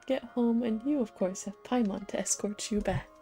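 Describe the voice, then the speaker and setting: A woman's voice: fairly high pitch, moderate pace, mellow, soft background music. A woman gaming streamer giving playful, effusive commentary and reading game text aloud. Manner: effusive, playful, cooing over characters.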